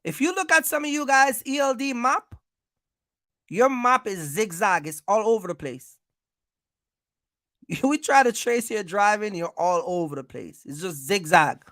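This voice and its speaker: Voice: medium pitch, animated, a little slow, pushed and bright, no echo, studio quality. An American livestream host giving informal business advice, speaking emphatically, repeating points and checking in with listeners.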